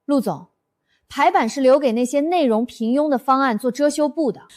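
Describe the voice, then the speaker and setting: A woman playing a defiant young employee in an animated short drama, blunt, combative and persuasive. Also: high voice, moderate pace, lightly acted. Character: bold, defiant young female office employee.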